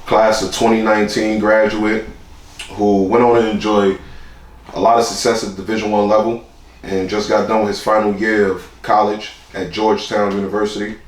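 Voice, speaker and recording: A man's voice: on the low side, level tone, moderate pace, reverberant, rough recording. A man hosting a podcast interview, laid-back and friendly, asking questions casually. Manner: laid-back, calls the guest "man" and "brother". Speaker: podcast host.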